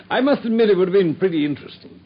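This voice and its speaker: An actor playing a good-natured Victorian detective's companion, puzzled, in a radio drama. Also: male voice, sits high, animated, a little fast, husky. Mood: musing. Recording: dull, muffled radio drama recording.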